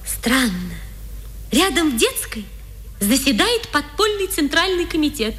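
An actress playing a brisk, wry hostess of secret resistance gatherings in a 1950s radio drama. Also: female voice, high-pitched, big pitch swings, a bit bright, faint music behind, full character voice.

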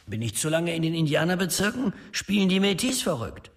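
A man's voice: a little high, big pitch swings, a little fast, soft background music. An actor playing an aggrieved small-town man who grumbles and complains, in a radio drama.

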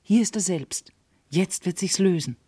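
A woman's voice: on the low side, measured pace, mellow, slightly rough, no echo. An actress playing a composed female confidante, speaking classical verse in a radio drama. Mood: reassuring. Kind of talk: classical German stage verse in a radio play.

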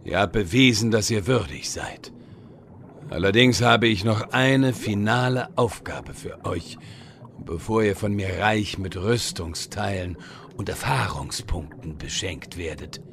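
A man's voice: fairly low pitch, measured pace, gravelly, a bit bright, slight background noise. A man playing a smug, scheming wizard with a condescending manner in a comedic audio drama. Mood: mock-solemn. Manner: condescending, mock-solemn. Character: smug, scheming male wizard in online game parody.

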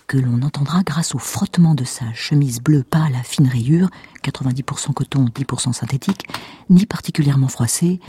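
An actor playing a pedantic, deadpan male narrator of absurdly detailed radio fiction. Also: medium pitch, brisk, breathy edge, dry recording. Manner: deadpan, pompous.

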